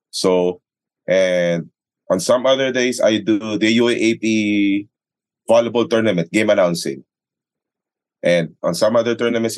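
A man with a Filipino accent who works as a sports announcer, giving conversational answers as an interview guest. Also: lowish voice. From Philippines. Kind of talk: conversational interview answers mixing English and Tagalog.

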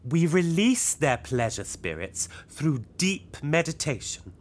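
A woman's voice: low-pitched, sing-song, takes it slowly, heavily acted. A woman playing a pompous, scheming cult leader who preaches pleasure in an audio sitcom. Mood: insistent, irritated. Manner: pompous, theatrical, emphatic.

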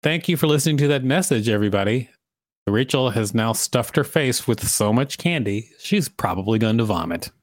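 A man's voice: medium pitch, lively intonation, normal speed, dry recording, studio quality. A man co-hosting a podcast, reading an article aloud with casual banter. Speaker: podcast co-host.

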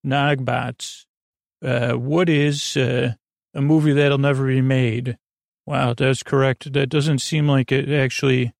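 A man with a creaky voice hosting a sleep podcast, speaking in a meandering, halting, stammering bedtime monologue that drifts into tangents. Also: medium pitch, level tone, no echo, clean studio sound. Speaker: podcast host. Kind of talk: rambling bedtime monologue to help listeners sleep.

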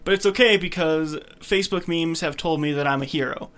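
A man's voice: on the high side, brisk, fairly bright tone. A man hosting a podcast in casual group chat, with a sarcastic, teasing manner. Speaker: podcast host.

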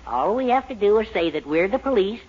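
A confident older American schemer in an old radio comedy. His voice is raspy and very animated in pitch. The 1940s recording sounds dull. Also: brisk, exaggerated voice. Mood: confident plotting. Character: scheming older man, confident conniving mentor.

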